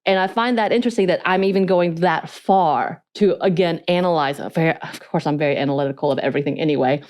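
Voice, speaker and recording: A woman co-hosting a casual podcast, her voice sitting a little low, with a faint Korean and North Georgia mountain accent from growing up speaking Korean. She talks in a reflective, analytical way, laughing often between thoughts. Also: fast talker, darkish tone. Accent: slight Korean and North Georgia mountain accent. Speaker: podcast co-host.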